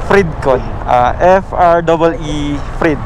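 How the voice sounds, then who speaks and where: A man's voice: fairly high pitch, slow pace. A Filipino man chatting casually, in a polite, helpful and informal way. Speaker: motorcycle vlogger. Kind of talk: casual chat with a passenger. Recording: very loud road and traffic noise.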